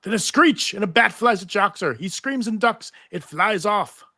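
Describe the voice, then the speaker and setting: A man's voice: high voice, animated, normal speed, sharp tone. A man serving as narrator, reading stage directions in scripted narration at a live table read.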